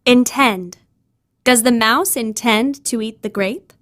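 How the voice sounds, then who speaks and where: A woman's voice: a little high, slow pace, a bit bright. A woman reading words and example sentences aloud in a scripted educational style as a vocabulary narrator.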